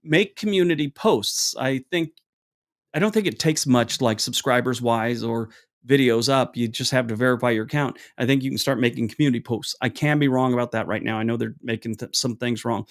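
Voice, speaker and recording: A man with a deep voice hosts a casual livestream from a room at home. He rambles warmly through viewer questions, restarting sentences and adding fillers as he goes. He talks into a small wireless clip mic at a medium pitch. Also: a little fast. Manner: acts out both sides of an anecdote with raised pitch. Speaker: livestream host answering chat questions.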